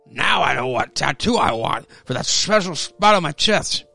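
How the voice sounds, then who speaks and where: A man's voice: a little high, lively intonation, normal speed, gravelly, sharp tone, faint music behind, full character voice. A man playing a happy, dim drunk husband in a radio-play story, slurring and stammering his words. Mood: drunken excitement.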